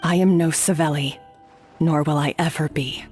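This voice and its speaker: A woman's voice: deep voice, steady tone, moderate pace, darkish tone, soft background music, close to an everyday voice. An actress voicing a guilt-ridden woman accomplice in a video game, speaking in a resolute, bitter, dramatic way. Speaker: game character.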